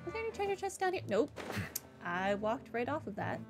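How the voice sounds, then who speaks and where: A woman's voice: high-pitched, big pitch swings, brisk, music in the background. A woman streaming a game with casual, offhand commentary, half talking to herself.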